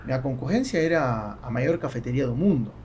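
Argentine man with a gravelly voice. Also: mid-range voice, expressive, brisk, a little mellow, a little hiss or hum. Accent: Argentine Spanish. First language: Spanish. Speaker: podcast guest, entrepreneur. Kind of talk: conversational interview. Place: small foam-treated podcast studio. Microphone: close podcast microphone on boom arm.

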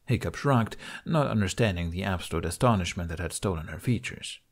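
A man reading a story as an audiobook narrator, in an expressive scripted style. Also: low voice, brisk.

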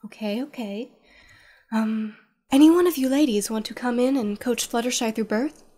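A woman's voice: a little high, normal speed, mellow, natural voice. A woman voicing a kindly, motherly pony nurse who speaks in a cooing, reassuring way in a fan radio play. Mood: soothing. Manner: cooing and reassuring, calls others dear.

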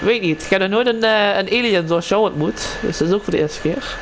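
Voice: medium pitch, brisk, lightly acted. A player voicing a friendly, casual local townsman in an improvised game roleplay, explaining things hesitantly. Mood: hesitant, helpful. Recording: clearly noisy game voice recording. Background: game ambience and vehicle sounds.

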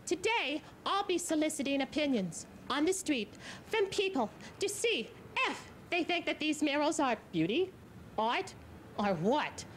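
A woman's voice: high-pitched, very animated, measured pace. A young woman playing a ditzy, flamboyant TV street reporter, chirpy and giggly, speaking into a handheld mic outdoors in a city. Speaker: TV reporter character. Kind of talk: amateur comedy sketch. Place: outdoors in a city, parking lots and murals. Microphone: handheld mic on a distant camcorder. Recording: noisy 1980s camcorder tape.

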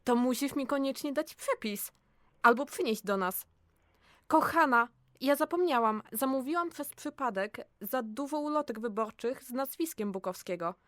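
A woman's voice: high-pitched, expressive, moderate pace, dry recording, clean studio sound. A woman playing a chatty, curious and helpful office colleague in an audio drama. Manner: chatty, exclamatory, eager. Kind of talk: amateur audio drama acting.

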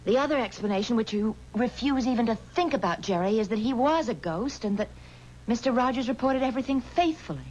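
Actress as a spirited, high-voiced skeptical woman. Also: a little fast, natural voice. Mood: challenging. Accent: American. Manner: challenging, expressive. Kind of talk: 1970s radio drama acting. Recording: slightly noisy, dull 1970s radio recording.

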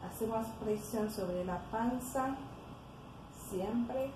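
A young Haitian-accented woman leads a floor workout at home in a slow, high voice, puffing and tired between cues. Her voice bounces around an echoey living room, picked up by a camera on the floor. A steady hiss of room noise sits under her. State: tired from exercising. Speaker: fitness vlogger. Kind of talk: casual home workout instruction. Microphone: camera on the floor nearby.

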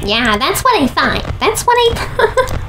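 A woman's voice: sits high, very animated, measured pace, noisy background. A young woman with a headset, playfully commentating a horror game, chatting to her viewers and teasing the game characters. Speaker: gaming video host.

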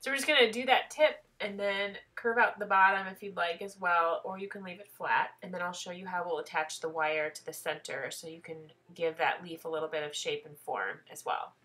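A woman's voice: fairly low pitch, steady tone, a little fast, hardly any pauses, bright, forceful tone. A woman with a head cold explaining a craft project step by step in a chatty, self-deprecating tutorial voice.

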